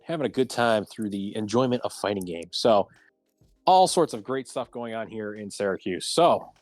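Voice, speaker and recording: A man's voice: on the low side, animated, moderate pace, a little mellow, dry recording, faint music behind. A man hosting a casual livestreamed round table talk show.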